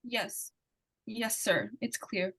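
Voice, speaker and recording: A woman's voice: medium pitch, very animated, extremely slow, a bit bright, breathy edge, heavily acted. A woman playing an earnest, pleading young girl in old-time radio suspense drama, urgent and insistent. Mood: subdued, haunted. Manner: urgent, breathless repetition and pleading. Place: live stage radio-play performance.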